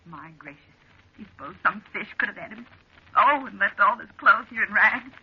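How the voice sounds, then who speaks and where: A woman's voice: medium pitch, lively intonation, a little slow, slightly rough, full character voice. An American actress in a vintage radio drama playing a naive, dithering young woman who speaks in fretful exclamations. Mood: fretful, searching. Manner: exclamatory, fretful, wide-eyed. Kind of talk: 1940s radio drama acting. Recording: muffled, noisy old radio recording.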